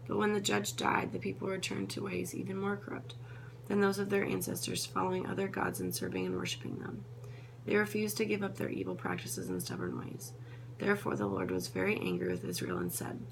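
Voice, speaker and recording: Woman, scripture reader, heavy vocal fry, trips on names and restarts, noisy hum behind. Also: mid-range voice, expressive, a little fast. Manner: stumbles over names and corrects herself. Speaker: Bible reader. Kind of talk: reading scripture aloud.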